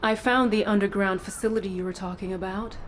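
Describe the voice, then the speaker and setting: A woman's voice: mid-range voice, fairly even intonation, quick pace, slight background noise, close to an everyday voice. A woman playing a stern, secretive sci-fi intelligence operative in a video game, controlled, dry and coolly menacing. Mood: warning.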